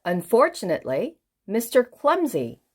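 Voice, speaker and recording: A woman reading a children's book aloud in an expressive way, putting questions to the listener. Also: on the high side, measured pace, husky. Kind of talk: animated read-aloud storytelling for children.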